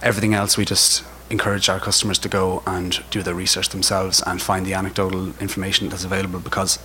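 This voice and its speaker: A man's voice: deep voice, completely flat delivery, fast, sharp tone, poor audio. A man with an Irish accent speaking informally and enthusiastically as a retailer on a panel, repeating himself for emphasis. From Ireland. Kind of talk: informal spoken presentation. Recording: noticeable background noise.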